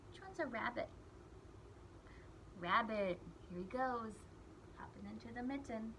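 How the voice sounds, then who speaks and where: A woman's voice: a little high, measured pace, background noise. A young woman reading a picture book aloud to small children, in simple phrases with emphasized key words. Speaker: children's read-aloud storyteller.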